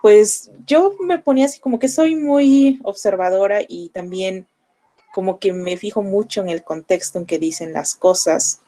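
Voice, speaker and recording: A young Mexican woman with a Mexican Spanish accent, explaining to her students in a relaxed classroom manner full of fillers. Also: medium pitch, moderate pace. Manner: conversational, explanatory, with frequent fillers. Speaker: language teacher. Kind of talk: online class lecture.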